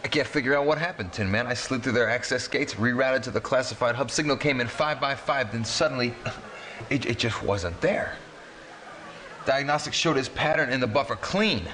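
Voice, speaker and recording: A man's voice: mid-range voice, normal speed, pushed and bright, close to an everyday voice. A man acting a jittery rebel tech operator in a game cutscene, talking in casual jargon, with some noise behind him. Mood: baffled, defensive.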